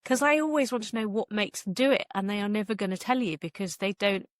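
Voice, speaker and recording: Woman, interview guest, candid, thinking aloud, rambling casual talk, mid pitch, dry sound. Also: fast, dry recording. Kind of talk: casual conversational interview answers.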